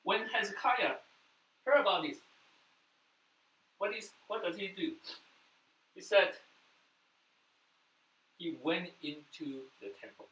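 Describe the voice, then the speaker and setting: Man, earnest sermon, loud bursts and soft drops, roomy echo, faint noise. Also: high-pitched, lively intonation, slow, long pauses. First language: Mandarin. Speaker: pastor. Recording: echoey room, mic at a distance, faint noise.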